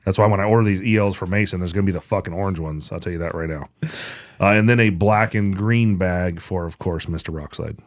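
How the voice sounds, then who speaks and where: A man with a low voice hosts a casual gear podcast. He talks bluntly and jokes around with plenty of swearing. The sound is close and dry, with very little room in it. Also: fast, dry recording. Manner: profane, blunt, joking. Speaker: podcast host. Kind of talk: casual chat about hunting gear.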